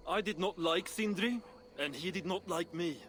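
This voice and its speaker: A man's voice: sits high, moderate pace, a bit bright, a little hiss or hum. An actor playing a proud, needling, boastful dwarf craftsman in a video game cutscene, with a dry manner. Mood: plainspoken eulogy. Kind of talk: dramatic game cutscene acting.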